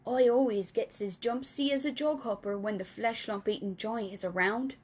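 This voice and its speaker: A woman's voice: fairly high pitch, normal speed, darkish tone, close to an everyday voice. A woman reading a storybook aloud and voicing a big, friendly male giant who talks in muddled grammar and made-up words, often in a whisper. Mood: hushed nervous.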